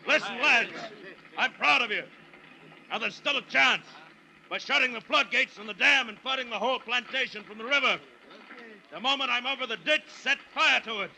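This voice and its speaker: A man's voice: extremely high pitch, normal speed, vocal fry, bright, forceful tone, full character voice. A man acting a defiant plantation owner in a 1940s radio drama, commanding and clipped in his delivery. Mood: rallying. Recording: noisy restored 1940s radio recording.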